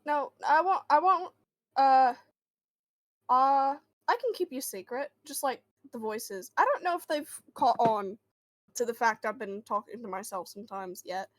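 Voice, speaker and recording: A guarded but friendly boy, played by a woman, fairly high in pitch and unhurried. The delivery is halting and reassuring, improvised for a tabletop game and recorded dry. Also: lively intonation, no echo. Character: friendly, guarded boy who hears voices.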